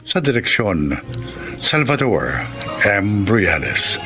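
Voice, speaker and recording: A male radio drama host delivers scripted introductions and credits solemnly. His medium-pitched voice moves slowly with big melodic swings over loud music. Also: sing-song. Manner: deliberate, solemn, theatrical. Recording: loud music under the announcing.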